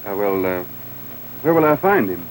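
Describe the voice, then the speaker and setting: An actor playing a courteous, determined young hero in a 1930s western film, straightforward in manner. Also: male voice, fairly low pitch, very animated, normal speed. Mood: polite, resolute. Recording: noisy old 1930s film soundtrack.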